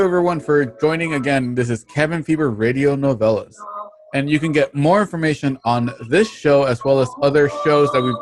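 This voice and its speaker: A man hosting an online show, giving a casual, halting sign-off with plugs and thanks. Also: fairly high pitch, rough recording. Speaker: host of an online radio drama show.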